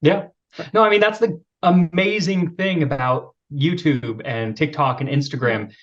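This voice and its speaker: A man with a youthful-sounding voice, chatting as a podcast guest in a relaxed, self-deprecating way with many fillers. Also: a little high, a little slow. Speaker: podcast guest, video creator. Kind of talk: casual interview chat. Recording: slightly dull lo-fi sound.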